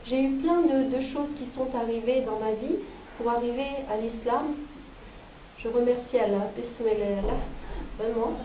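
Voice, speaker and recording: A woman from France gives an unscripted personal testimony, haltingly, searching for words and repeating herself. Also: a little high. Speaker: religious convert giving a testimony. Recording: roomy, noisy, muffled, distant microphone.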